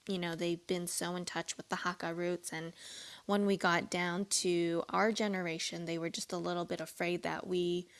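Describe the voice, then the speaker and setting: A woman restaurant owner from Canada speaking in a steady, matter-of-fact way in an interview. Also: on the low side, normal speed. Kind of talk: interview talk.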